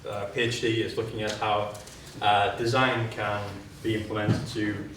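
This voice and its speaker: A man's voice: on the low side, little pitch movement, normal speed. A man hosting a conference who introduces the speakers hesitantly, with many fillers. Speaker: conference host. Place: conference hall. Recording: very echoey hall, distant mic, noisy.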